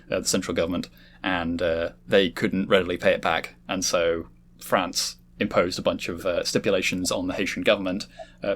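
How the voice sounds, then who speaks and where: A man hosting a casual podcast discussion, talking in a wordy, explanatory way. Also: low voice. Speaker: host leading a discussion.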